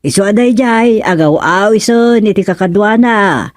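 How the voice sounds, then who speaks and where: A woman's voice: medium pitch, expressive, moderate pace, no echo, clean studio sound, close to an everyday voice. A woman with an Ilocano accent acting a gossipy, insistent villager in a radio comedy-drama.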